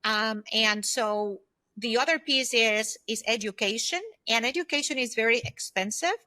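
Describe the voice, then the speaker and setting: Female, 50s, interview guest. Bright, forward voice, slightly high, dry close sound, earnest explainer. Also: moderate pace, no echo. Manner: earnest, explanatory, often checks in with "right?". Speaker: podcast guest, consultant and former aerospace executive. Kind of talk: conversational interview answers.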